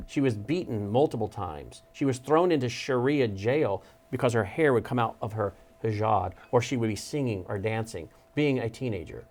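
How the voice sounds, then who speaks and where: A man's voice: medium pitch, lively intonation, moderate pace, few pauses, darkish tone. A man hosting a studio interview show, speaking emphatically and with mounting urgency. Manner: emphatic, urgent, building intensity. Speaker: talk show host. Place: studio desk.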